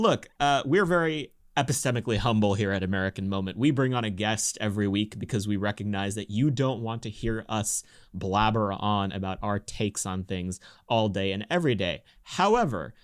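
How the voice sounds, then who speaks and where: A man hosting a podcast in casual talk, teasing his co-host with jokes. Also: fairly low pitch. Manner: joking, teasing banter.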